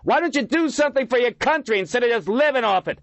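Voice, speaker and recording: A man's voice: expressive, fast talker, no echo. A man doing a screaming young heckler. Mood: jeering. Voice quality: screaming, high and strained. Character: angry jeering young male protester.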